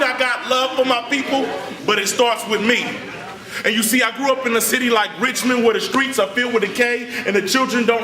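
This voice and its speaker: A man performing spoken word poetry with a forceful, declamatory delivery. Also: extremely high pitch. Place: large indoor hall with an audience. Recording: echoey hall from far off.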